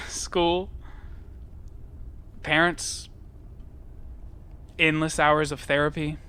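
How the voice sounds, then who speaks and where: A man's voice: on the high side, little pitch movement, very slow, sharp tone, smooth, full character voice. An actor playing a troubled young man, guarded, wry and sad, in an audio play.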